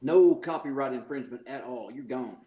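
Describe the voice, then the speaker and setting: A man's voice: mid-range voice, a little fast, mellow, roomy sound. A man in his forties, a podcast guest, telling crude stories and laughing in casual chat. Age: 40s.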